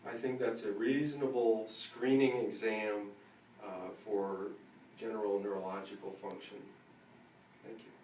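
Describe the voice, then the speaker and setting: A man's voice: fairly low pitch, level tone, measured pace, darkish tone. Distant-miked man, clinical and matter-of-fact. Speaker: clinician demonstrating a neurological exam. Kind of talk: instructing a patient while explaining to viewers. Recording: roomy, distant mic with faint noise.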